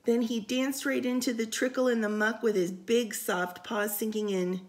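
A woman reading a picture book aloud for storytime, expressive and sing-song, with casual asides. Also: on the high side, moderate pace. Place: indoors, room by a window.

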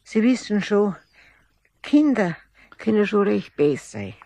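Timid old Bavarian lady, put-upon, husky, dry close studio sound, radio drama. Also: medium pitch, sing-song, slow, dark tone, dry recording, heavily acted. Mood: aggrieved. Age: older. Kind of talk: radio play acting.

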